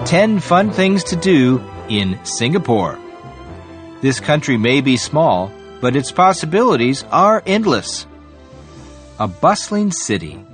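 Expressive man narrating a travel article. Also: a little high. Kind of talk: scripted narration.

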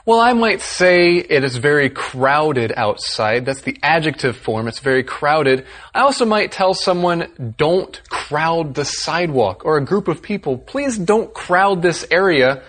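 A man's voice: a little high, expressive, unhurried, fairly bright tone. A man co-hosting a scripted language lesson, playful and friendly as he explains words.

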